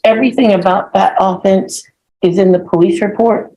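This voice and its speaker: A woman acting as defense attorney in court, objecting in a brisk, firm way. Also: mid-range voice, fairly even intonation, warm tone, rough recording.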